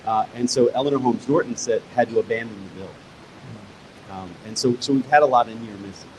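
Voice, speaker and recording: A man's voice: mid-range voice, fairly quick, noisy background. A man who is the guest author at a live stage conversation. He explains history patiently and step by step, his mid-range voice building emphasis as he makes each point.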